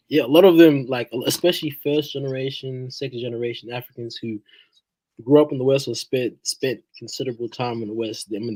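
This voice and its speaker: A man's voice: medium pitch, darkish tone, no echo, rough recording. A man who grew up in Australia, chatting casually on a podcast panel, stumbling and repeating words as he thinks. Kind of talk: casual chat.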